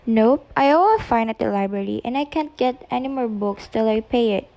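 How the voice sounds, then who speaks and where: A woman's voice: a little high, lively intonation, normal speed, mellow, muffled recording. A woman playing a chatty, restless schoolgirl daughter in an amateur radio play. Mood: defensive. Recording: faint background noise, clicks.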